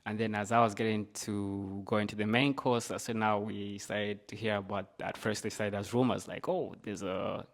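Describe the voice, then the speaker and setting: Low-voiced Zambian man telling his story. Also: level tone, moderate pace, hardly any pauses. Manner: casual, uses "like" and "you know" often. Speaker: podcast guest. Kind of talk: conversational personal storytelling.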